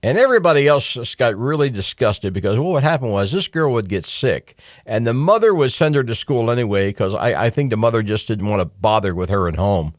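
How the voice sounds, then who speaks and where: A man's voice: mid-range voice, fairly quick, dry recording, studio quality. A middle-aged man hosting a talk radio show, speaking bluntly with some mild cursing and plenty of fillers and restarts. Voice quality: blunt, mildly profane, conversational. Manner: lively, hectoring, with frequent fillers and restarts. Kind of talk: call-in talk radio monologue. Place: radio studio. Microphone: studio microphone.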